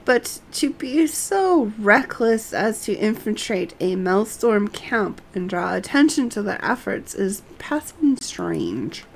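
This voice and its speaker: A woman voicing an earnest, bookish young male scholar from a fantasy game in a formal, measured manner. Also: mid-range voice, big pitch swings, creaky, slight background noise, heavily acted. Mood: earnest, pondering.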